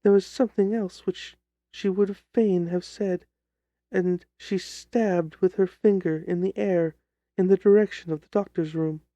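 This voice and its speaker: Guy playing a troubled young lady telling a scary story, mellow highish voice, very earnest, audiobook style. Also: steady tone, unhurried, no echo, lo-fi sound. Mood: sorrowful. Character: distressed young gentlewoman recounting her sister's terrifying death.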